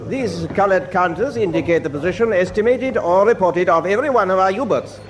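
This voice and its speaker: An actor playing a guarded, formal German admiral at a U-boat base, speaking in measured radio drama style. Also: male voice, high voice, natural voice. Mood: formal, assured.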